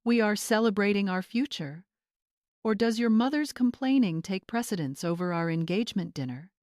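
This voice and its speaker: A woman voicing a catty young bride in an audiobook story, fake-sweet and condescending with a cold, sharp edge. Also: medium pitch, animated, normal speed, dry recording, clean studio sound, close to an everyday voice. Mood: cold, cutting. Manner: syrupy mockery turning to cold commands.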